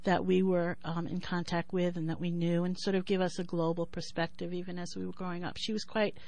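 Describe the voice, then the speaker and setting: A woman in her sixties with a New York accent who talks thoughtfully with many pauses and then reads her poem in a measured rhythm. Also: on the low side, level tone, gravelly, darkish tone. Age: 60s. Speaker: poet and writer. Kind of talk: interview and poetry reading.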